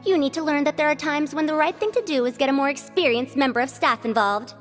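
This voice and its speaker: A woman voicing spirited, expressive female characters in a fantasy adventure video game. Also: high voice, fast, natural voice. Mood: scolding. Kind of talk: animated video game voice acting. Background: background music.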